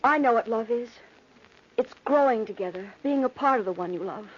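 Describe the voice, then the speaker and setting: High-voiced, anxious bride-to-be. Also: female voice, expressive, fairly quick, slightly rough. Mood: devoted. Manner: fretful, earnest, melodramatic. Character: anxious, devoted young bride-to-be. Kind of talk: old-time radio drama acting. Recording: muffled old radio recording.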